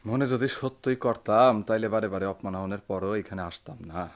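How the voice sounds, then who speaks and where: An actor playing a weary, bitter middle-aged village elder with a rural Bangladeshi accent in a radio drama, sounding wounded and resigned. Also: male voice, on the low side, normal speed, highs cut off, natural voice. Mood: hurt, resigned.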